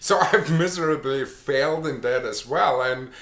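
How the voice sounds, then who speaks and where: A middle-aged man with an Austrian accent talks casually about his own path, often restarting sentences with a string of uh's. His voice has a bright, forceful edge at a mid pitch. The room around him is noticeably echoey. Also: moderate pace. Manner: chatty, repeats words and restarts with many uh's. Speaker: online marketer. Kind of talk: casual personal story to camera.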